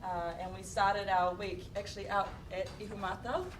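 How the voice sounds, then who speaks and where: A woman hosting and welcoming a live audience, talking in a relaxed way with many fillers. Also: medium pitch, normal speed. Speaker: event host. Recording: distant mic in a noisy room.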